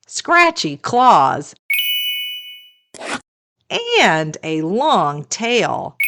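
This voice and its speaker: A woman reading a picture book aloud to children as a storytime host, in a deliberate storyteller's delivery. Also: low voice, a bit bright.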